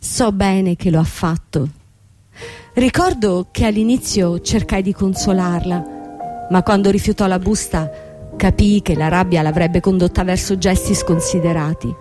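Actress as a reproachful young ruined boss, music behind. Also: female voice, lowish voice, steady tone, measured pace, close to an everyday voice. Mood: reflective. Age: young adult. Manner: formal, emphatic, rhetorical questioning. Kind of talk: theatrical radio drama acting.

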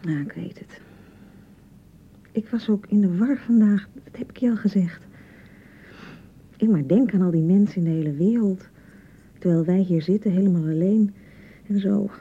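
A woman's voice: medium pitch, moderate pace, pauses often, slightly rough. A woman acting a touchy, hurt and unsure young newlywed bride in a radio drama, defensive and hesitant in manner. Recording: dull, slightly muffled 1990 radio broadcast.

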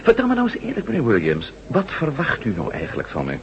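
An actor playing a headstrong, sardonic ex-astronaut turned newspaper reporter in a radio drama, with a wry, theatrical delivery. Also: male voice, mid-range voice, huge pitch swings, quick pace. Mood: brisk, amused. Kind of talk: radio drama acting in Dutch. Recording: muffled radio drama recording, clear hiss and hum.

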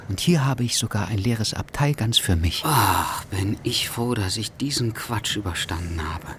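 An actor playing a pedantic, easily exasperated traveller in a German radio drama, wordy and theatrically bewildered. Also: male voice, on the low side, normal speed, noisy background, lightly acted. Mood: relieved.

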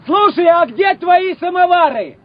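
Very high-voiced, eager young lieutenant. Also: male voice, animated, a little slow. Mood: eager, friendly. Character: eager, friendly young male artillery lieutenant. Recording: dull, noisy old radio recording.

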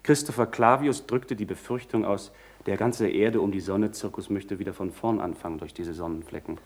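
An actor playing a genteel, urbane young gentleman in a German radio play, formal and a little gossipy. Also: fairly low pitch, moderate pace, close to an everyday voice. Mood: wry, gossipy.

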